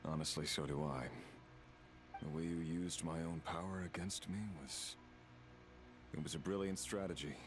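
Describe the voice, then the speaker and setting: An actor playing a brooding, remorseful fallen villain in a video game, speaking slowly and solemnly with halting phrases. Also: male voice, rumbling low, steady tone. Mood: wistful. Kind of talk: dramatic video game dialogue. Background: music.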